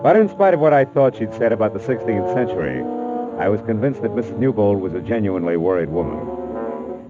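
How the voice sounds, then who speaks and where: A man's voice: on the low side, lightly acted. An American man playing a wry, hard-boiled private detective in a 1940s radio drama, with clipped, sardonic delivery. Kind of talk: 1940s radio detective acting, first-person narration and dialogue. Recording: noisy, muffled old radio recording.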